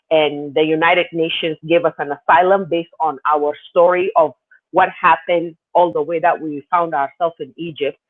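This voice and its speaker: A man from South Sudan, a guest in an interview, telling his story in a loose, rambling way with lots of fillers. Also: high voice. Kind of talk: casual interview answers. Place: living in Canada. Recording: tinny phone line.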